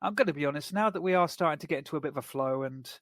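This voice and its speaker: A man's voice: a little high, rapid-fire, fairly bright tone, dry recording, studio quality. A man co-hosting a podcast, casually discussing film and TV in a wordy, explanatory way. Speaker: podcast co-host.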